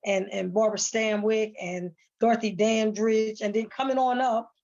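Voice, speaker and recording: A woman's voice: a little high, steady tone, normal speed, darkish tone, faint music behind. A woman talking casually and emphatically as an interviewed stage actress, often repeating words and phrases. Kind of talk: casual panel conversation. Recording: dull, muffled lo-fi sound.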